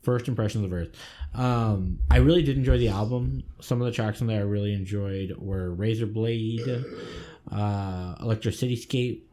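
A man's voice: lowish voice, normal speed, dark tone. A man in his twenties co-hosting a podcast in casual chat, joking and laughing often, with loose swearing. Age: 20s. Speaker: podcast co-host.

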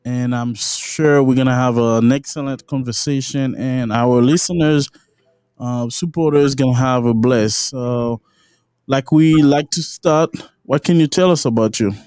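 A man's voice: mid-range voice, unhurried, darkish tone, smooth, no room sound, clean studio sound. A man hosts a podcast, reading a sponsor spot and asking his guest questions in an upbeat, encouraging way. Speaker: podcast host. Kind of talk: casual podcast interview, sponsor read.

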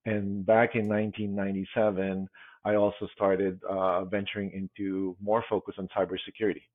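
A man in his fifties, a guest on an interview podcast, answering in a halting way with many pauses and restarts, heard over a tinny remote line. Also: sits low, flat delivery, no room sound. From Philippines. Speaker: guest, security executive.

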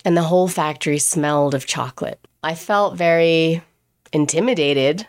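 A woman's voice: low voice, moderate pace. A woman who grew up in England, a pastry chef, telling her own story in a chatty way, quoting the people she met and laughing often. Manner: chatty, laughs often, quotes others in dialogue. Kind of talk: personal storytelling monologue.

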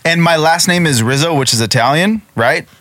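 A man's voice: fairly high pitch, moderate pace, a bit bright, no room sound. A man co-hosting a podcast in casual chat, joking, swearing and laughing often. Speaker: podcast co-host.

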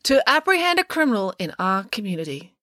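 A woman's voice: medium pitch, big pitch swings, fast talker, fairly bright tone, no room sound, studio quality, voice pushed up. A woman voicing a long-winded, pompous female small-town mayor in a droning speechmaking manner for a story.

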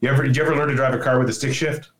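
A man's voice: medium pitch, steady tone, very fast, a bit bright. Older man telling stories in a roomy space. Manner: storytelling, folksy, opinionated. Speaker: podcast guest. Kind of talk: casual conversation. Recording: roomy echo.

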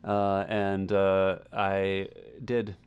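A man's voice: low voice, completely flat delivery, very slow, smooth, muffled recording. A man co-hosting a podcast, talking casually and telling anecdotes in a conversational way. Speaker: podcast co-host. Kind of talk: casual chat and personal anecdotes.

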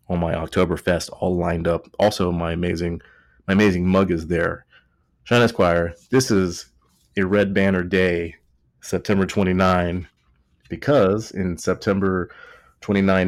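Texan guy a few beers in, super low voice, rambly jokey podcast host, dry close mic. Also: no room sound. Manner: rambling, jokey, self-correcting. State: tipsy from beer. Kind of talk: casual joking chat.

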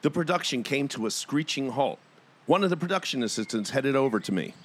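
A man's voice: medium pitch, lively intonation, brisk. A man hosting a podcast and narrating a scripted first-person story in a dramatic storytelling manner.

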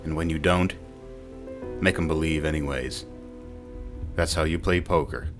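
A man's voice: extremely low pitch, steady tone, a little slow. A man playing a knowing poker mentor in an audio drama, giving terse, instructive advice. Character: worldly male poker mentor, coolly knowing. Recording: music and rain behind the voice.

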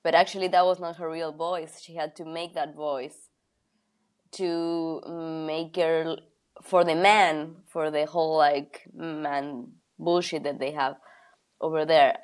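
A woman comedian who is a podcast guest, talking in casual chat. She speaks haltingly and laughs often between phrases. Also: low voice, flat delivery.

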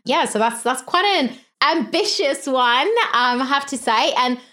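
A woman's voice: high voice, unhurried, bright, forceful tone. A woman from South Africa hosting an interview, chatting casually with lots of "like" and "you know.". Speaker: interviewer, host.

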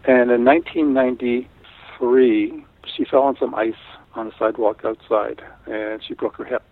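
A middle-aged man from Canada, Italian as his first language, talking in a thoughtful, unhurried way with frequent restarts in an interview. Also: medium pitch, steady tone, no echo. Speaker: guest artist. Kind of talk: casual interview talk. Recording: tinny phone line.

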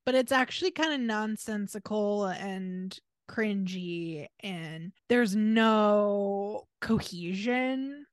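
A woman's voice: medium pitch, slow pace, hardly any pauses, clean tone, no room sound, studio quality. A woman co-hosting a podcast in casual conversation, giving opinionated, drily critical commentary. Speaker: podcast co-host.

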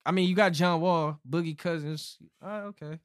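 A man's voice: fairly high pitch, fairly even intonation, normal speed, clear, resonant tone, no echo, studio quality. A man in a casual sports chat who argues assertively and keeps repeating his points.

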